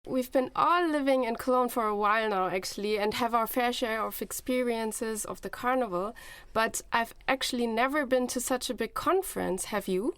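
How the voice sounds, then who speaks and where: A woman's voice: fairly high pitch, normal speed, fairly bright tone, smooth, no room sound. A young woman talking conversationally as a co-host of a student podcast. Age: young adult. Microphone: close podcast microphone.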